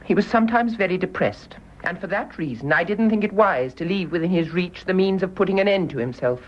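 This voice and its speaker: An actress with a British accent playing a stern, bitter private nurse in a radio drama, firm, clipped and reproachful. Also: female voice, fairly low pitch, lively intonation, fairly quick, muffled recording. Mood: insistent.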